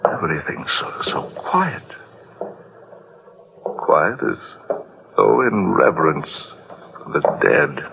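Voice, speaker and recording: A man's voice: sits low, sing-song, takes it slowly, creaky, long pauses, exaggerated voice. A man playing a brusque, superstitious ship's officer with brooding, dramatic delivery in an old radio drama. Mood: hushed dread. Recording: muffled, noisy old radio recording.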